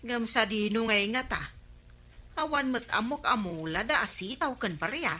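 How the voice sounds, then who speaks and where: A woman's voice: on the high side, expressive, moderate pace, sharp tone, slightly rough, heavily acted. A woman with an Ilocano Filipino accent playing a gossipy, indignant village official in a radio comedy drama. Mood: tattling.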